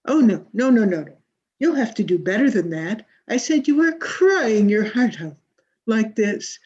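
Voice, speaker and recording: Through a dull video-call recording comes a grandiose female drama coach, acted by a woman. She drags out her emphatic instructions in a theatrical radio-comedy manner, with a husky voice that swings widely in pitch. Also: big pitch swings, a little mellow, full character voice. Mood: exacting, dramatic. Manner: theatrical, emphatic, drawn-out delivery. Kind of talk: 1940s radio comedy acting.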